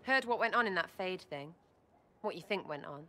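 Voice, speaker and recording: A woman's voice: lowish voice, lively intonation, normal speed, pushed and bright. An actress playing a cheeky, impulsive young woman rogue in a video game, talking in slangy, irreverent, blurted fragments. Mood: blunt, chatty.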